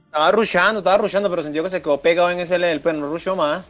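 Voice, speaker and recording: A man's voice: high voice, fairly even intonation, racing, fairly bright tone, soft background music. A Venezuelan man in casual voice chat during an online game, speaking in crude slang.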